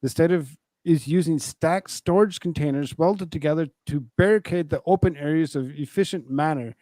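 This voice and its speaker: An American man hosting a show with casual news commentary, talking in a halting, loosely phrased way. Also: fairly high pitch, dark tone, no echo. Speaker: show host.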